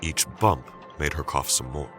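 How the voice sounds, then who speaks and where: Low, dramatic male storyteller over music. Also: moderate pace. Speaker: storyteller, narrator. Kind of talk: dramatic scripted narration.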